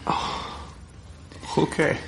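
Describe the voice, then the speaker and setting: An actor playing a curious American operative on a covert search in a radio drama. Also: male voice, extremely slow, noisy background. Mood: curious, impressed.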